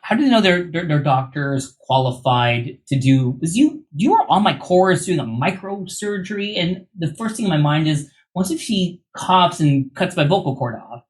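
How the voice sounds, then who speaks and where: A man's voice: fairly high pitch, sing-song, moderate pace, hardly any pauses. A man hosting a podcast in casual, informal interview talk, recovering from vocal surgery. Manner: informal, chatty, joking. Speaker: podcast host.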